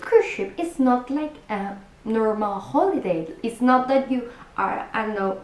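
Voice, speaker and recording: Slow-talking Argentine woman in an echoey room. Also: fairly high pitch. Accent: Argentine Spanish. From Argentina, Buenos Aires. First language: Spanish. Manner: chatty, restarts and self-corrections, direct address to viewers. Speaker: cruise vlogger. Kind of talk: casual packing-tips vlog.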